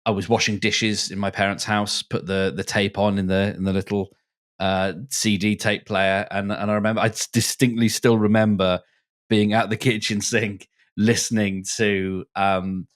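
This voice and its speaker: Male podcast guest, slightly low voice, casual halting storyteller. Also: little pitch movement. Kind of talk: casual chat, personal anecdote.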